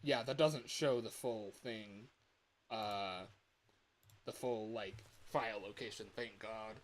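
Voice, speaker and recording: A man's voice: fairly low pitch, slow. A man chatting casually as a livestreamer and hobby game developer, rambling and repeating his phrases as he goes.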